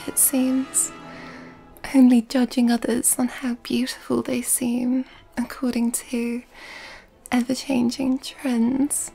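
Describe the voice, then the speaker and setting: A woman playing an elegant, flirtatious vampire in an ASMR roleplay, speaking in a soft, breathy half-whisper with refined, courtly phrasing, close to the mic. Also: high voice, full character voice, rough recording. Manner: refined, old-fashioned courtly phrasing, unhurried. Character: elegant, flirtatious, mysterious female vampire at a masquerade ball. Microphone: close microphone. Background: music.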